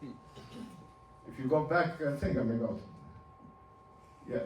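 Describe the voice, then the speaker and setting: A man in his seventies, a native Ladino speaker from Turkey, giving an informal talk over slides, halting and restarting with small chuckles. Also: medium pitch, a little mellow. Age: 70s. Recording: roomy, distant, faint hum.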